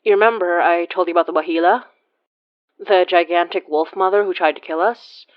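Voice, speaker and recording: A woman's voice: on the low side, fairly quick, no room sound, phone-quality sound, lightly acted. An actress playing a chatty, teasing woman giving a casual update in an audio drama.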